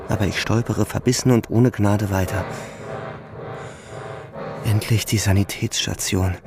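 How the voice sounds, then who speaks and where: An actor playing a weary young German soldier who narrates in a grave, measured, inward way in a radio drama. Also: male voice, fairly low pitch, natural voice. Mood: strained. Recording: music behind the voice. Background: instrumental music.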